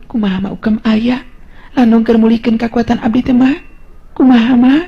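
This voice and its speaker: A man's voice: extremely high pitch, fairly even intonation, moderate pace, creaky, breathy edge, voice pushed up, exaggerated voice. A man voicing a helpless young captive noblewoman with a Sundanese accent, plaintive and imploring in a radio story. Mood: anxious, hopeful. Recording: dull lo-fi radio-style recording.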